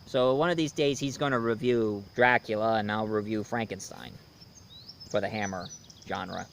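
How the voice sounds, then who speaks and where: A man giving a casual, unscripted movie review as an online host, rambling and halting as he goes. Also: on the low side, steady tone, creaky, a little hiss or hum. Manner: rambling, halting, hesitant delivery.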